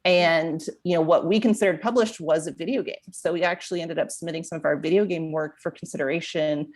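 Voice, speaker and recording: A woman's voice: sits low, fairly even intonation, fast talker, darkish tone. An American woman telling a story conversationally in an interview, chatty, with plenty of "like" and "you know". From Florida, United States. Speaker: comic book and TV writer, podcast guest.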